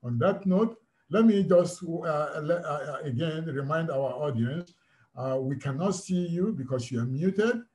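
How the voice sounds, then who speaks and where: A man moderating a video conference, giving instructions haltingly with many fillers. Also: fairly high pitch, animated, darkish tone.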